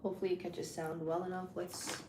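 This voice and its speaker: A woman live streamer with a deep voice, chatting casually with her viewers while she sets up a game. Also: flat delivery, a little fast. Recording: echoey room with loud background noise.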